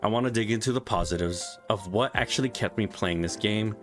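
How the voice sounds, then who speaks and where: A man's voice: lowish voice, a little fast, a bit bright. A young man giving a frank, casual spoken game review, swearing freely, with faint music behind him.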